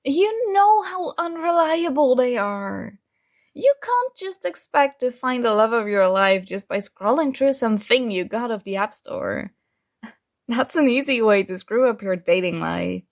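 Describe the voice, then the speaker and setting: A woman playing a secretly jealous, teasing roommate in an intimate one-sided ASMR roleplay, with hesitant pauses. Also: fairly high pitch, lively intonation. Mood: skeptical, prodding. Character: secretly jealous female roommate, teasing, hurt but feigning indifference.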